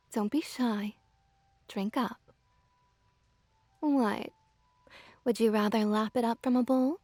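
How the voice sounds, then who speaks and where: A woman's voice: on the high side, no room sound. A woman playing a teasing, dominant yet doting kitsune witch in an ASMR roleplay, cooing and often dropping toward a whisper. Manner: cooing, teasing baby talk, soft whispery passages.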